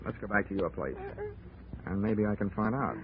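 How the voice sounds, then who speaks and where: A man's voice: fairly low pitch, animated, moderate pace, crowd noise, lightly acted. An actor playing a wry, hard-boiled male insurance investigator who narrates and wisecracks in clipped 1940s radio style. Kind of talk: 1940s radio acting with first-person narration. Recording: scratchy phone-like 1940s radio broadcast.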